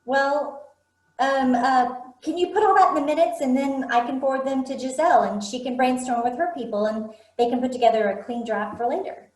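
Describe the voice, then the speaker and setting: Woman as a fussy, stammering meeting chair; high voice, echoey room. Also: fairly quick, natural voice. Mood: flustered. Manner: hesitant, stammering. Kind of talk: staged play reading over video call. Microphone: video call.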